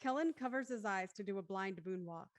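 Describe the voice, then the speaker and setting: A woman's voice: medium pitch, expressive, brisk, no room sound. Woman narrator, very dry sound. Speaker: narrator reading stage directions. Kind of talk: scripted narration.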